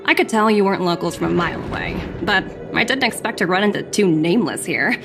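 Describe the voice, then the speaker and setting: Clear game music sits behind a mid-pitched, polished voice acted by a woman. The young businesswoman sounds self-assured and courteous, with sly amusement in her delivery. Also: lively intonation, fast talker, close to an everyday voice. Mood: amused, intrigued. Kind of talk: video game cutscene acting.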